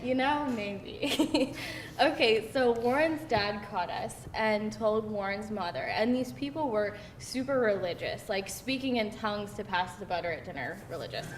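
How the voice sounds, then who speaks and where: A woman's voice: a little slow, close to an everyday voice. A woman acting a candid, sarcastic young woman at a live screenplay read, in a conversational style. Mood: wry, amused. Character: candid, wry, sarcastic young woman recounting her teens. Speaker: actor at a screenplay table read. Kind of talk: live read of conversational comedy-drama dialogue. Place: live table read in a room. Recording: roomy, distant mic, faint rumbling noise.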